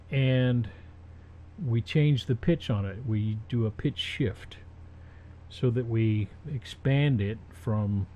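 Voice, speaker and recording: A man presenting an audio tutorial in an informal, rambling way, with halting pauses and personal anecdotes, on a slightly dull recording. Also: lowish voice, mellow, a little hiss or hum.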